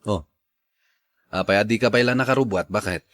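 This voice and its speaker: A man acting a mild, soft-hearted working husband in a radio drama, placating and easygoing. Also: on the low side, a little fast, natural voice.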